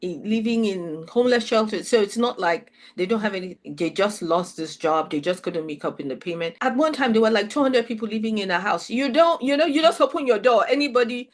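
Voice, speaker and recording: A woman's voice: medium pitch, expressive, a little fast, hardly any pauses. Reflective woman hosting. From Nigeria. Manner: thoughtful, restarts sentences, reflective. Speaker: host. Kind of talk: interview chat.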